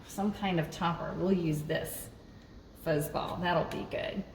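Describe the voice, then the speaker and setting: Slow-paced woman in an echoey room. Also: lowish voice, fairly even intonation. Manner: sing-song, thinking aloud to young children. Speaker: children's librarian hosting storytime. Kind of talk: children's craft and game demonstration. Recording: echoey, reverberant room, distant mic, faint noise.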